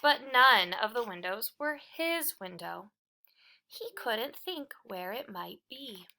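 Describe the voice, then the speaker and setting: A woman reading a children's picture book aloud in an expressive storytelling style, with deliberate phrasing and dramatic emphasis. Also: on the high side, creaky, a little hiss or hum.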